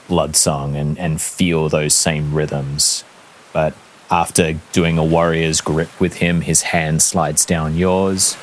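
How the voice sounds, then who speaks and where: Low voiced guy game mastering, really slow descriptive narration, lots of pauses, hissy background. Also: rough recording. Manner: measured, descriptive, deliberate pauses. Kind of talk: narrating a tabletop fantasy game.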